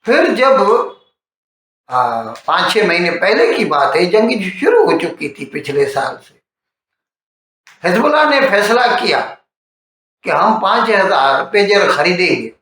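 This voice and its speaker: A man's voice: high voice, sing-song, slow, long pauses, fairly bright tone. A man giving a conversational explainer on current affairs like a storyteller, repeating and rephrasing points for emphasis. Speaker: news commentator. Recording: strongly echoing, reverberant room.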